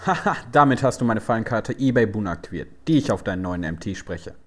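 A man's voice: fairly low pitch, lively intonation, fast talker, lightly acted. An actor playing a boastful, gloating male card-game finalist.

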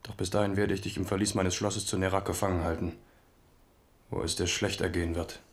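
A man's voice: sits low, level tone, brisk. An actor playing an impetuous, mocking young king in a German radio drama, with a scornful, commanding manner. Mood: stern, controlled.

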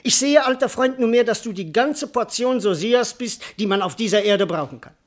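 An actor playing a cowardly, bewildered servant in a classical verse radio comedy, wordy, plaintive and comically indignant. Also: male voice, very high voice, normal speed. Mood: resigned. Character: bewildered, cowardly male servant doubting his own identity.

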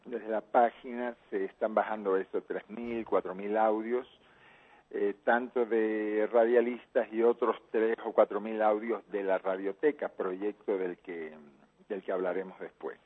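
Cuban-born man, low husky voice, measured, pausing to think, heard over a tinny phone line. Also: fairly even intonation. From Cuba. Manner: measured, thinking aloud with many pauses. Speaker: interview guest, radio producer. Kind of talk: phone interview.

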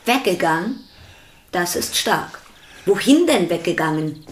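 An actress playing a brisk, suspicious woman of the house in a radio play, questioning insistently. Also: lowish voice, expressive.

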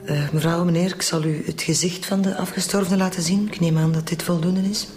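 An actress playing a solemn doctor in a radio drama, recorded in an echoey room. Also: female voice, on the low side, fairly even intonation, a little fast, darkish tone, soft background music, close to an everyday voice.

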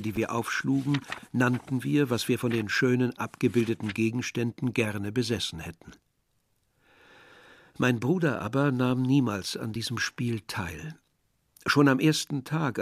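An actor playing a reflective villager who narrates his memories in a measured way in a radio drama. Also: medium pitch, dry recording, studio quality, natural voice.

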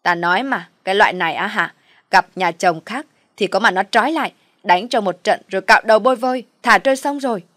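A woman's voice: mid-range voice, fairly quick, no echo, studio quality, natural voice. A woman voicing a scheming pregnant wife who scolds or coaxes with calculation in an audio story. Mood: scornful, scolding.

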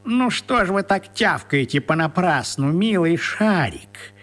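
A man's voice: sits high, lively intonation, measured pace, fairly bright tone, full character voice. An actor voicing a cheeky male talking cat with a mocking, mock-courteous manner in a children's radio play. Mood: mockingly polite. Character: cheeky grey talking tomcat, mockingly polite.

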